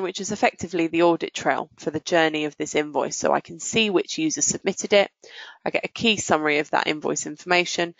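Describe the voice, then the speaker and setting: A woman presenting a software walkthrough in a methodical, explanatory way. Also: on the low side, gravelly, dry recording, highs cut off. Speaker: software demonstrator.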